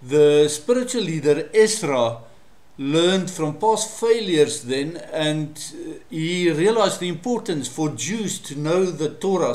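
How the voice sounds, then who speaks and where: A man's voice: a little high, darkish tone. Afrikaans-man teaching in an echoey room. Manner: measured and explanatory, with frequent fillers. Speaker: Bible study presenter. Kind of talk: solo teaching monologue to camera.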